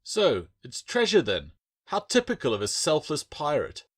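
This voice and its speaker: A man's voice: fairly high pitch, wildly swooping pitch, normal speed, fairly bright tone, voice pushed up, heavily acted. A man voicing a bitter, suspicious orphan boy in an audiobook adventure, sarcastic and accusing. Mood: indignant. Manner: indignant, accusing.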